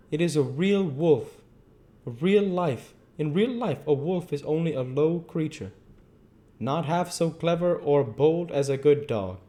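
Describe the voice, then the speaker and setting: A man's voice: on the high side, a little mellow, voice pushed up. A man voicing a talkative old zookeeper in an audiobook, rambling in folksy, emphatic dialect. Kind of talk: audiobook character voice.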